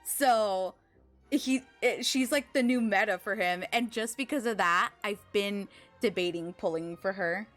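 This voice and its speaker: A woman's voice: medium pitch, unhurried, a bit bright. A woman livestreamer chatting casually with her viewers, thankful and gushing, using girlish slang. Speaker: video game livestreamer. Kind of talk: casual chat with stream viewers. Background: game music.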